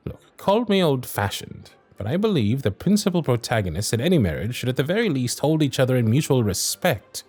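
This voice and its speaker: Man acting a wry Victorian lord, animated delivery. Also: mid-range voice, husky, no room sound. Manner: formal, courtly, old-fashioned diction. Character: wry, honourable young Victorian lord, charming and playfully vain. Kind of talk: romantic period audio roleplay.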